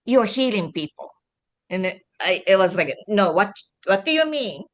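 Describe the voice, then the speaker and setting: A woman from Japan speaking English with a Japanese accent, a guest telling her story in a halting way with many restarts. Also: mid-range voice, big pitch swings. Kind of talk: casual interview talk.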